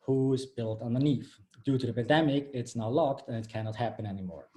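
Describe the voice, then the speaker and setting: A man in his thirties from Austria, presenting his final project on a video call. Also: mid-range voice. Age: 30s. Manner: a little flustered by screen-sharing trouble, then steady and explanatory. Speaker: bootcamp graduate presenting a project. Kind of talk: live-streamed project presentation.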